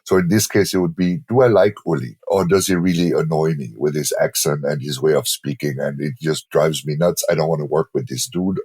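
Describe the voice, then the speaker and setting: A middle-aged man with a German accent, a guest in a podcast interview, explaining brand strategy in a relaxed, explanatory way and sometimes acting out what imagined clients say. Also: low voice, breathy edge, no echo.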